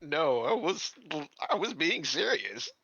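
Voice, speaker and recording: A man's voice: a little high, very animated, slow, creaky, pushed and bright, muffled recording. A man playing a tabletop roleplaying game, bantering casually and narrating his character's actions.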